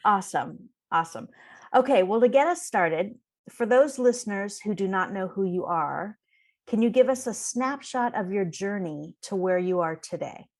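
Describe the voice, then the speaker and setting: A woman's voice: mid-range voice, animated, moderate pace. Upbeat woman podcast host. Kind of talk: scripted intro then interview chat.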